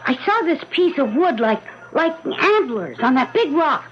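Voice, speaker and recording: A woman's voice: high voice, unhurried. A woman playing an eager, earnest young boy in an old-time radio drama. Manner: earnest, excitable, childlike. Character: eager young boy, son of boating parents. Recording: muffled old radio broadcast, music behind.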